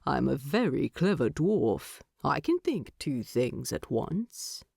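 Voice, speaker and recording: A dignified, fond male dwarf from a fantasy audiobook, played by a woman. His voice is pitched low and moves steadily, with a measured and gently wry delivery. The sound is close and dry. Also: big pitch swings, creaky, husky, no room sound, exaggerated voice. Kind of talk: audiobook character dialogue.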